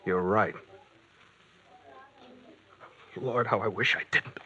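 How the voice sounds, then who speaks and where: An actor playing a morose, fearful husband haunted by guilt, brooding and pleading in old-time radio mystery style. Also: male voice, lowish voice, fairly even intonation, moderate pace, bright, forceful tone, slightly rough, full character voice. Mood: uneasy, resigned. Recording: dull vintage radio recording.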